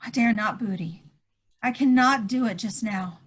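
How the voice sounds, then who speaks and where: A woman performs a worried 1940s woman holding on to hope, her voice high. She speaks earnestly and fretfully, as in a stage drama read aloud. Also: normal speed, husky, rough recording. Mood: anxious, insistent. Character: anxious, hopeful wartime woman clinging to news of missing airman. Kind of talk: one-act play table read. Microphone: video call.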